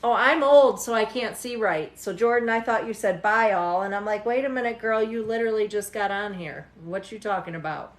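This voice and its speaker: A woman's voice: mid-range voice, a little fast, few pauses, echoey room. A tired woman in her forties reading tarot live for viewers, chatting casually, teasing them and often laughing. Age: 40s. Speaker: tarot reader hosting a livestream. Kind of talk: casual livestream chat with viewers.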